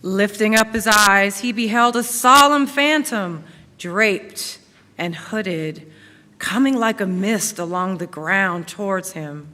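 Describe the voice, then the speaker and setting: A man's voice: sits high, slow, bright, forceful tone. A man narrating a story as a scripted dramatic reading. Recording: reverberant hall with faint noise.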